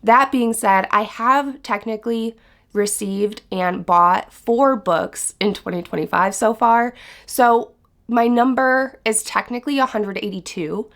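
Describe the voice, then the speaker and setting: A young woman talks straight to her viewers in a casual vlog. Her voice is stuffy and hoarse from being sick, but she stays chatty and upbeat. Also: fairly high pitch, a little slow, a bit bright. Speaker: book vlogger. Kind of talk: casual vlog chat to camera.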